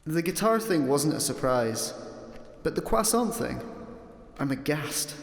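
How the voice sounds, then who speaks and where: A man's voice: fairly high pitch, expressive, a little slow, creaky. An actor playing an aloof, prim male ship's computer in a comic sci-fi radio play, with a reverberant voice. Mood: dry disdain. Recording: echoing, long reverb on voice.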